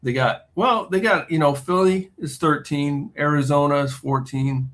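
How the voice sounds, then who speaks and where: A man's voice: a little high, moderate pace, fairly bright tone. A man co-hosting a podcast, chatting casually about football, laughing often and trailing off mid-thought. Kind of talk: casual sports chat.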